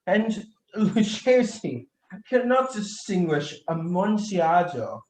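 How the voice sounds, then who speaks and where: A vain, tipsy wine connoisseur with a head cold acted by a young man talks in a slow, halting, pompous way. It is theatrical radio play acting, recorded in an echoey room. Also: sits high, big pitch swings, a little mellow, exaggerated voice. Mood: pompous, insistent. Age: young adult. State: sneezing and coughing with a feigned cold. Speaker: student actor.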